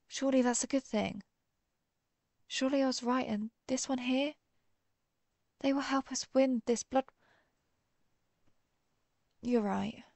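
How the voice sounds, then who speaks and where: A woman playing an earnest, conflicted vampire hunter who is herself a vampire, speaking formally with hesitant pauses in a dramatic audio roleplay. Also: fairly high pitch, vocal fry, darkish tone, no echo. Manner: formal, old-fashioned wording, hesitant pauses. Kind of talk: dramatic audio roleplay, one-sided dialogue.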